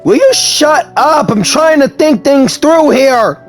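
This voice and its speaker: A man plays a game and comments casually as he goes. His voice is high for a man, and he tends to think aloud. Faint game music plays behind him. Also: expressive, takes it slowly. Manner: muttering reactions, thinking aloud. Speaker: gamer playing on camera or stream.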